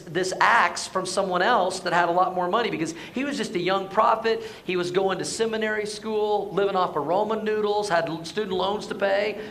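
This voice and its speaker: A hoarse man preaching a conversational Sunday sermon, asking the congregation to answer back. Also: high-pitched, a bit bright, slight background noise. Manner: conversational, asks the congregation to respond. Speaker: pastor. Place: church sanctuary.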